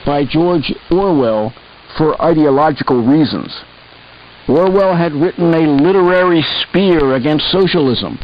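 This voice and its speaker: A man reading scripted expository prose in a measured, formal way, as a narrator of articles. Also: fairly high pitch. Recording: dull, hissy shortwave radio reception.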